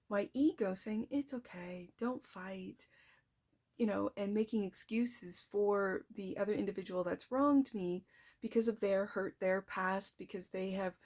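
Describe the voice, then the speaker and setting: Woman, tarot reader, soft voice, chatty and reflective, mid-range pitch. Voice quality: soft-spoken. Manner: chatty, reflective, addresses the viewer directly. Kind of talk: casual tarot reading to viewers.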